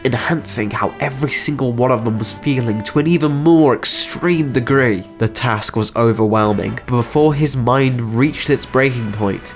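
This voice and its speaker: Mid-pitched man narrating over music. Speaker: narrator and channel creator. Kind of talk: scripted story narration.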